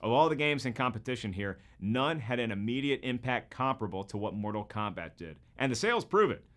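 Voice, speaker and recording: A man's voice: medium pitch, big pitch swings, fairly quick, a bit bright. A man in his forties with an American accent, hosting with an emphatic, jokey, scripted comedic narration. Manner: emphatic, wry, jokey delivery. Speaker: host.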